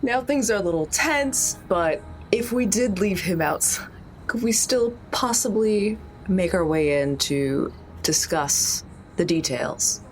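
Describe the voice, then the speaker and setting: A woman playing a glamorous, smooth-talking celebrity artist in a tabletop roleplay, charming, theatrical and a little condescending. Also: medium pitch, expressive, slow pace, a little hiss or hum, voice pushed up, rough recording. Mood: coaxing. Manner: gushing, theatrical.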